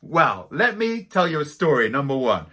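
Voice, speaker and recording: Bright, lively Welsh-accented man, dramatic storyteller. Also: on the high side, normal speed, slightly rough. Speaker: spiritual teacher. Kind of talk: storytelling to camera.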